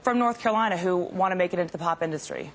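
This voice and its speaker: Fast-talking woman interviewer. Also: on the low side, expressive. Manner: friendly, laughs easily. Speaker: web show host. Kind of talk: hosted interview.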